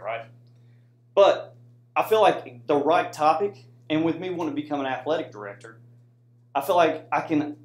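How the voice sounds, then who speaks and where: American guy doing a casual class presentation, chatty with the audience, average pitch, pretty echoey room. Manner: conversational, addresses audience with frequent "right?" tags. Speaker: student presenter, soldier. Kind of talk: informal classroom presentation with slides.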